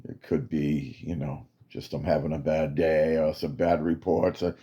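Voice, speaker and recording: A man's voice: extremely low pitch, flat delivery, normal speed, warm tone, muffled recording. A man voicing a doubtful male soldier who questions and mocks his commander's orders. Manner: hesitant at first, then mocking and challenging.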